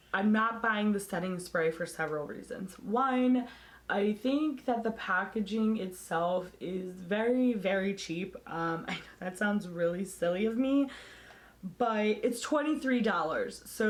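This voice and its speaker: Hoarse woman vlogger chatting bluntly. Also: medium pitch. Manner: candid and opinionated, blunt about products. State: hoarse, losing her voice. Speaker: beauty vlogger. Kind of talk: casual to-camera chat.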